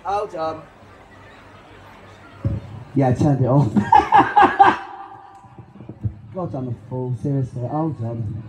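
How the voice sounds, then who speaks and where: A man's voice: medium pitch, big pitch swings, very slow, pauses often, roomy sound, a little hiss or hum, poor audio. A man, performing in drag on a Pride stage and bantering with the crowd through a handheld mic in a chatty, self-mocking, camp way. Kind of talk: live stage banter between songs. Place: stage at an outdoor Pride event. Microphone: handheld stage microphone. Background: loud guitar music.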